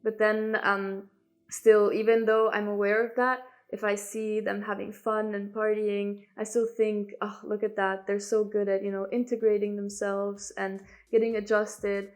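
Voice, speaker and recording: A woman's voice: mid-range voice, completely flat delivery, measured pace. A young woman from Italy, a native speaker of Italian and German, reflecting in a documentary interview as an international student. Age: young adult.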